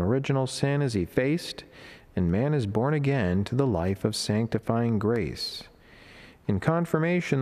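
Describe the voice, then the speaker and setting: A middle-aged man reading a devotional reflection as a friar, in a solemn, measured, formal way. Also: mid-range voice, vocal fry, a little mellow. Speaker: Franciscan friar preacher. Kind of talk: read devotional reflection and prayer. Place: indoor chapel, at a lectern beside the altar. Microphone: lectern microphone.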